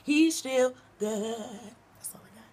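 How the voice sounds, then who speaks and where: A hoarse woman in her thirties, a singer chatting as a guest in a small radio studio, laughing and calling out praise. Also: a little high, takes it slowly, a loud crowd. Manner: laughing, gospel-tinged exclamations. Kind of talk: casual radio chat. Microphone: studio boom mic with pop filter.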